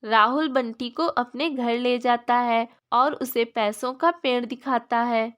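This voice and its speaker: A woman narrates a children's story from a script. Her voice is somewhat high in pitch, and the delivery is that of a storyteller for cartoon tales. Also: steady tone, takes it slowly, a bit bright, smooth.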